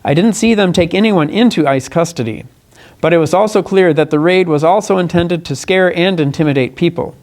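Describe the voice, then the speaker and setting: A man delivers a formal statement as a candidate at a press conference. His voice sits a little high for a man. He speaks earnestly and deliberately, sometimes stumbling and correcting himself as he reads or explains. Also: a little mellow. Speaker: congressional candidate. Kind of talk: press conference statement, partly read aloud.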